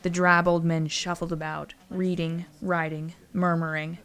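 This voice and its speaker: A female narrator with a fairly low voice that creaks often. She reads a scripted story with wry drama, and music plays underneath. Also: little pitch movement, unhurried, a bit bright.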